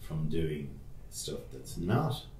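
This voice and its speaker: Deep-voiced male podcast host. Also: sing-song, slow, darkish tone, roomy sound, slight background noise. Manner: chatty, rambling, teasing. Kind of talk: casual conversational interview.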